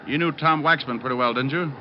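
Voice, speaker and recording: An actor playing a cocky, flippant private detective with dry wit in old radio drama style. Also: male voice, medium pitch, fast, a little hiss or hum, lightly acted. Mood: flippant, needling. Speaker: lead actor and narrator. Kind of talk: 1940s-50s radio detective acting. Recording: dull vintage radio recording, faint music.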